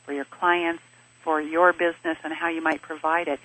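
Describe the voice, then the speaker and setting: A woman who grew up in Switzerland, answering interview questions in a measured, explanatory way and stringing her points into lists. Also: sits low, little pitch movement, a bit bright, low-quality recording. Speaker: interview guest, business advisor. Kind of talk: thoughtful conversational interview answers.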